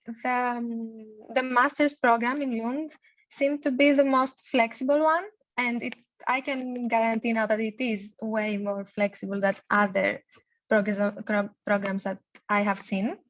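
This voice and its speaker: Bright-voiced woman from Greece on a call. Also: on the high side, steady tone, unhurried. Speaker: master's student panelist. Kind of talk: casual self-introduction and answers. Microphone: video call. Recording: dull lo-fi call audio.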